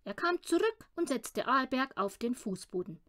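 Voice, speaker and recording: A woman reading a children's audiobook with expressive storytelling narration. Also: high voice, moderate pace. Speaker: audiobook reader.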